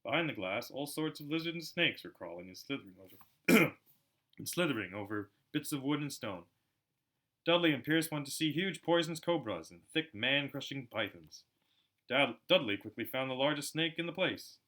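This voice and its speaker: A man reading a storybook aloud as an amateur narrator, in an expressive style. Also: mid-range voice, moderate pace. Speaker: amateur audiobook reader.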